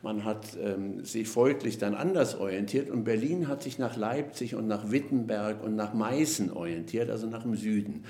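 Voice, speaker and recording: A man with a fairly low voice lectures patiently as a podcast guest, measured and explanatory, often pausing on hesitation fillers. The sound is roomy and echoey. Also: dark tone. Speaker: linguist guest.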